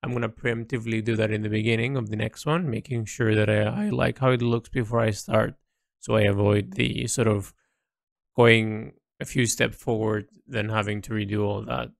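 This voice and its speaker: A man recording a reflective, unscripted audio diary, thinking aloud haltingly with repeats, in a creaky voice. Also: on the low side, fairly even intonation, no room sound, clean studio sound. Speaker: painter recording an audio log.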